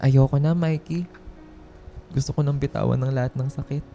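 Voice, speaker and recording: A weary male office worker played by an actor in a radio drama. His very dark, muted timbre carries hurt and quiet resolve, with hesitant phrasing over soft music. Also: mid-range voice, steady tone, normal speed, natural voice. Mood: weary, emotional.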